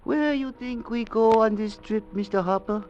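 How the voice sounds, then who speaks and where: A high-pitched, wistful ship's cook played by a man in an old radio drama. He is a simple island sailor drawling broken English, heard through a muffled vintage recording. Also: normal speed, a little mellow, soft background music, close to an everyday voice. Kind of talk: 1940s radio acting.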